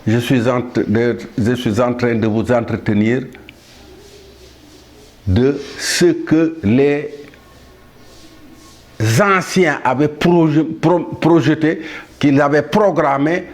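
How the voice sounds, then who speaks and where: A man in his seventies from Senegal, delivering a solemn monologue to the world in a measured, halting way with pauses and restarts. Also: medium pitch. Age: 70s. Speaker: speaker addressing the world.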